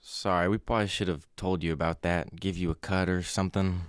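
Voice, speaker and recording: Radio play support: male actor as helpful, practical working man, very low voice, dry close sound. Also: fairly even intonation, brisk, smooth, dry recording, natural voice. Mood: matter-of-fact. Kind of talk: naturalistic radio drama acting.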